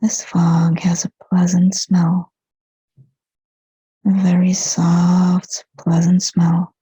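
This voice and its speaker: A woman guides a meditation in a very breathy, whispered voice. Her delivery is extremely slow and gentle, with little rise or fall in pitch and long pauses between short soothing phrases. The recording is dry and close, and slightly dull. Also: completely flat delivery, dry recording. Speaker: hypnotherapist guiding a meditation. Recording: dull, muffled lo-fi sound.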